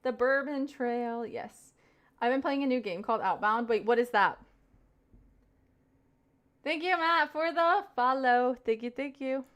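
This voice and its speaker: A young woman with a light Southern US accent talks casually on her live stream. Her voice sits a little high, and she keeps thanking viewers as she reads their comments aloud. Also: unhurried, long pauses, a bit bright, clear, resonant tone. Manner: chatty, thanks viewers over and over.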